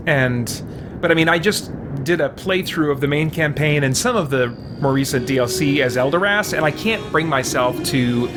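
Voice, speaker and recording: A man giving casual live commentary on a game, rambling and halting as he thinks aloud. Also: fairly high pitch. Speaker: let's play streamer. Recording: game music behind the voice. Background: video game music.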